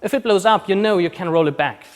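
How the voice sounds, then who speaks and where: A man's voice: high-pitched, fast talker. A man from Austria whose accent blends Austrian German and New Zealand, giving a casual conference talk with many ums and restarts. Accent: mixed Austrian German and New Zealand. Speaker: conference speaker. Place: conference room.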